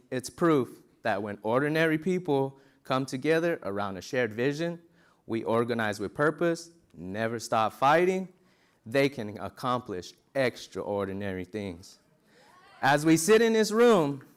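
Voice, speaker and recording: Man, smooth mid voice, rallying speech in a ballroom. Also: medium pitch. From New Mexico. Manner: earnest, rousing oratory. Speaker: convention speaker. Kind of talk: speech to a conference crowd. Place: large ballroom.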